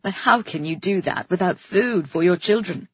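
A woman voicing a commanding, grandiose king in an audiobook, speaking loudly like an orator. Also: on the low side, lively intonation, normal speed, slightly rough, dry recording, muffled recording. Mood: grand, stately. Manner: loud, oratorical, imperious. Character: commanding, grandiose king making stately proclamations. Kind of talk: audiobook character acting.

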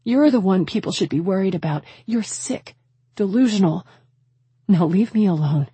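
Woman acting a husky, indignant, defiant woman. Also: sits low, slow pace, dark tone, no room sound, heavily acted. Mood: angry, cold. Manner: indignant, cutting. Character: hurt, defiant woman rejecting a suitor. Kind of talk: audiobook character dialogue.

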